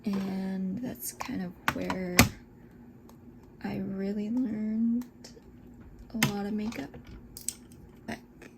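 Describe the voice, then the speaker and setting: Slow whispery woman in her twenties. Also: medium pitch, level tone, vocal fry, heavy background noise. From Scotland, raised in Texas. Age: 20s. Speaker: esthetician and beauty vlogger. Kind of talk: soft whispered get-ready-with-me chat.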